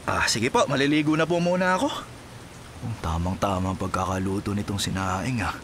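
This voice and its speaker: A man's voice: on the low side, normal speed, a bit bright, slight background noise, close to an everyday voice. A Filipino man playing a charming, easygoing married police captain in a drama, with a folksy, teasing manner. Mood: polite, relaxed. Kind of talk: Filipino radio-play style drama acting.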